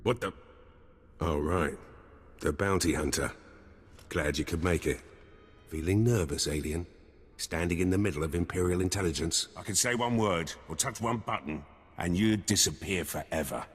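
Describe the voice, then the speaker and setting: An actor playing a cocky, scheming intelligence captain in a video game, breezy, sardonic and theatrical. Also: male voice, sits low, moderate pace, slightly rough, in a large hall. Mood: smug menace.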